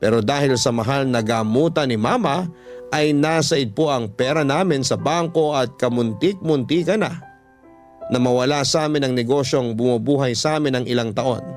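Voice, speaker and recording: A man's voice: mid-range voice, moderate pace. A man with a Filipino accent reading a first-person story as scripted dramatic radio narration. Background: background music.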